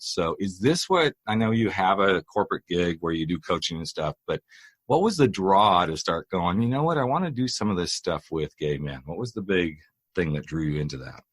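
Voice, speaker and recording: A man's voice: low voice, fairly bright tone, no room sound. A man hosting a podcast interview, asking long conversational questions. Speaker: podcast host.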